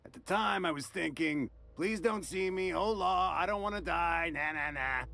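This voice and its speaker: Folksy, gravelly game mercenary; drawling, wry. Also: male voice, high voice, normal speed, bright, forceful tone, exaggerated voice. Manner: drawling, folksy, dry humor.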